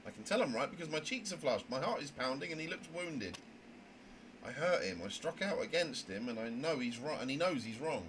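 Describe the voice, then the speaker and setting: A man's voice: medium pitch, big pitch swings, fairly quick, close to an everyday voice. Man acting out a flustered, indignant young trainee, noisy recording. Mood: indignant, worked up. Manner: earnest, flustered, stammering with indignation. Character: anxious, indignant, rule-bound young trainee mediator, Winter Harrison. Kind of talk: amateur voice acting of a visual novel.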